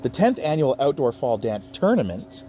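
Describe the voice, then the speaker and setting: A man reading a children's picture book aloud in a playful, expressive way, with chatty asides to his young listeners. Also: medium pitch, moderate pace, slight background noise.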